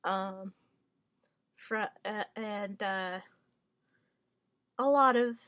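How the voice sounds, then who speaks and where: Wry, hesitant woman, slow halting delivery. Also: mid-range voice, a bit bright. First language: Hungarian. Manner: hesitant, many fillers and restarts; wry, mock-formal wording. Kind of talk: rambling monologue.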